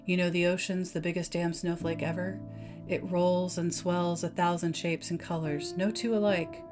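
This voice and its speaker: The musing old-salt talk you'd hear in a lamp room at night from a folksy, brooding keeper, as a woman narrator plays him in low tones, music underneath. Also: little pitch movement, moderate pace, close to an everyday voice. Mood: musing, awed. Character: brooding, talkative male lighthouse keeper, awed by the sea. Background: background music.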